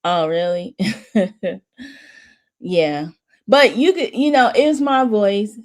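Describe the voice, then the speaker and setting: A woman hosting a watch party in casual chat, rambling and restarting, her voice mostly monotone but jumping high at times. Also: takes it slowly. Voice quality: says her voice runs monotone then jumps high. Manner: rambling, restarting often, laughing between thoughts. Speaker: host of a watch party stream.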